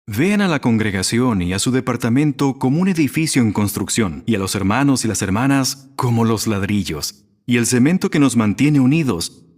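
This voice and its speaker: Measured male religious speaker. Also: mid-range voice, expressive, a little mellow. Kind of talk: prepared religious sermon.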